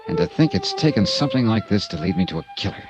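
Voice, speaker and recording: An actor playing a dry, clipped insurance investigator in a 1950s radio crime drama, narrating and questioning. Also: male voice, on the low side, huge pitch swings, rapid-fire, dull audio. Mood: hard-boiled. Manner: dry, clipped, hard-boiled. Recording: old radio broadcast with music.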